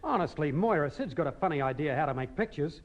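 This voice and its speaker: Wry film crew bloke, bit high voice, sympathetic, old radio comedy. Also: male voice, expressive, quick pace, muffled recording. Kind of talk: 1950s radio comedy acting.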